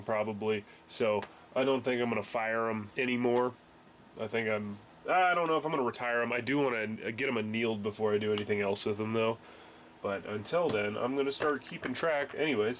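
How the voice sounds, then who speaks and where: A man's voice: lowish voice, a little fast, a bit bright, slight background noise. Congested young man rambling at a workbench. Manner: rambling, many fillers and restarts. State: congested from a cold. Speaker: home reloading hobbyist. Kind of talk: casual workbench tutorial. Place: garage workbench, indoors.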